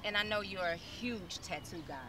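A woman's voice: mid-range voice, moderate pace, background noise. A woman in her thirties hosting an interview outdoors, asking questions in a bubbly way. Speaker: interview host. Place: outdoors in a sunny garden courtyard. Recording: voice a little distant from the mic.

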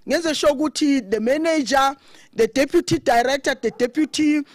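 A middle-aged woman with a South African accent giving a loud, conversational funeral tribute at a podium mic under an open thatched shelter, switching between English and Zulu. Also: high-pitched, normal speed, dry recording. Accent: South African, mixing Zulu. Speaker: eulogy speaker, committee chair.

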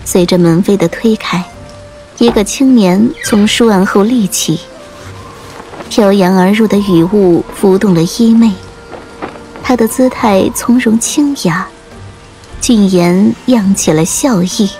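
A woman's voice: medium pitch, slow pace, warm tone, low-quality recording. A woman narrating an audiobook novel in scripted, atmospheric storytelling. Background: rain and faint music.

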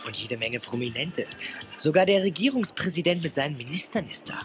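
A man playing an excitable, easily exasperated young adventurer in a children's audio drama. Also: a little high, a little fast, over music, natural voice. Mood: observant.

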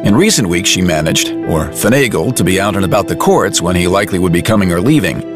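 A man narrates a dramatised story in a lowish, very expressive voice. His delivery is wry and colloquial, with music underneath. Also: fast talker. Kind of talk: dramatised audiobook narration in present tense.

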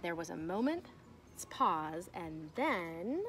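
A young woman reading a children's storybook aloud like a teacher, with expressive, emphatic delivery. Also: lowish voice, takes it slowly. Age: young adult. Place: outdoors in a fenced backyard. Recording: noticeable outdoor ambient noise.

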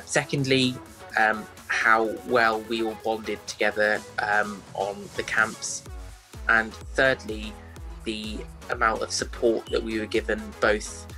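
Bright-voiced young man, careful. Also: on the low side, low-quality recording. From England. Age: 20s. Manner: careful, paced with pauses. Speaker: interviewee. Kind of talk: self-recorded talk.

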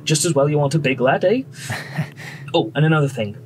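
An actor playing a friendly, assured wartime bomber pilot with a British accent, brisk and reassuring, in a radio drama. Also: male voice, a little high, lively intonation, close to an everyday voice. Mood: genial, welcoming. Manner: brisk, reassuring, polite officer. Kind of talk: period radio drama acting. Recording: noisy aircraft engine background.

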